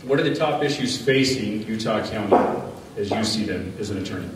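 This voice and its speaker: A man's voice: fairly low pitch, lively intonation, a little fast. A man moderating a debate, giving plain procedural instructions and a question to the candidates. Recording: distant voice in echoey hall, noise.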